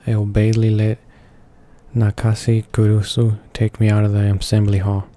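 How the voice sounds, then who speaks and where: A man's voice: on the low side, completely flat delivery, moderate pace, mellow. A man reading visual novel narration aloud for his gaming channel, stumbling over words as he goes. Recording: faint music behind the voice. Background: game music.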